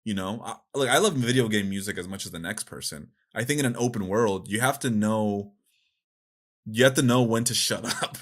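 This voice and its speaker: A man chatting casually as a podcast co-host, with an animated, informal manner. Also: on the low side, normal speed. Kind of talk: casual podcast chat about video games.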